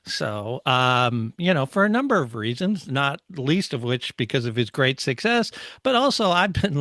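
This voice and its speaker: A man's voice: medium pitch, a bit bright, dry recording, studio quality. A middle-aged man hosting a podcast, telling stories in a casual, conversational and enthusiastic way. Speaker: podcast host.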